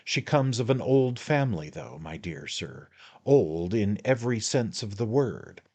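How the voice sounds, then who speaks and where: A man doing a fussy little storybook attorney who wheedles and flatters at every turn. The voice rides high and lively, swooping up as he coaxes. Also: a little slow. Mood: coaxing. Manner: ingratiating, wheedling, repeats "my dear sir".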